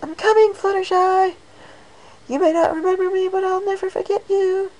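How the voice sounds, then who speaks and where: A woman's voice: very high-pitched, little pitch movement, normal speed, a little mellow, pitched above the performer's own voice. A woman voicing a bubbly, scatterbrained young female pony who rambles breathlessly in run-on sentences. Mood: giddy excitement.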